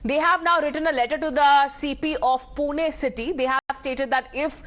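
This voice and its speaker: A woman's voice: high voice, fairly even intonation, moderate pace, a bit bright. A woman with an Indian English accent anchoring live television news.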